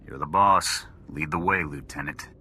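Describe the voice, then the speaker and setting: An actor playing a wry, loyal soldier squadmate in a video game, making dry remarks. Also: male voice, rumbling low, moderate pace, sharp tone, breathy edge, heavily acted. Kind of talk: video game character acting.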